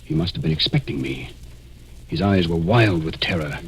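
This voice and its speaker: An American actor playing a cold, scheming murderer who narrates deliberately and suspensefully in a 1940s radio mystery. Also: male voice, low voice, expressive, slight background noise. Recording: vintage 1940s radio recording, music behind.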